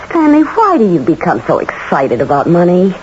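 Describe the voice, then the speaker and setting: An airy, coquettish rich wife in a seventies radio drama. Her pitch moves playfully up and down, and she sounds unbothered. The audio is muffled with a faint noise. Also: huge pitch swings, moderate pace, a little mellow. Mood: airy, haughty. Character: airy, teasing, spendthrift society wife. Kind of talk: 1970s radio drama acting. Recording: dull vintage radio, faint hiss.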